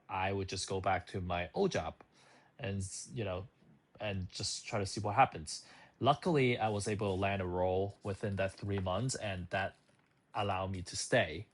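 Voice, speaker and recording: A man in his thirties who grew up in Hong Kong, telling a personal story to the camera in a loose, casual way with plenty of fillers and restarts. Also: lowish voice. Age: 30s. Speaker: channel host.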